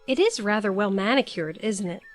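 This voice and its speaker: A woman's voice: mid-range voice, animated, fairly quick, music in the background, lightly acted. A woman acting in an audio drama as a curious, cheeky young travelling companion with a light, teasing manner.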